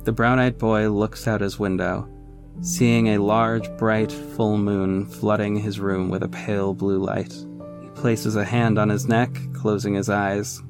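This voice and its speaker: A man's voice: on the low side, level tone, measured pace, music in the background. A man narrating an audio drama as its reflective hero, in scripted storytelling style. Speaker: narrator and protagonist.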